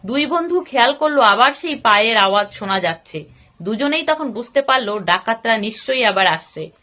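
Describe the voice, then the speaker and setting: High, bright woman storyteller. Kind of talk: scripted story narration.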